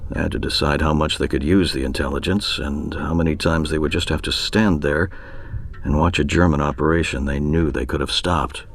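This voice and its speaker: A sardonic older military spymaster in a radio drama. His voice is very deep. He speaks in a measured, insinuating way, like a professor testing a pupil. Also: steady tone, creaky, slight background noise. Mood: instructive. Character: shrewd, sardonic older male military intelligence superior.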